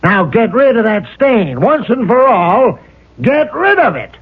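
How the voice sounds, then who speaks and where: A man's voice: sits high, sing-song, a little slow, slightly rough, exaggerated voice. An actor playing a stubborn, skeptical American businessman father, speaking bluntly and emphatically in a radio drama. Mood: irritated. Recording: muffled, lo-fi old radio recording.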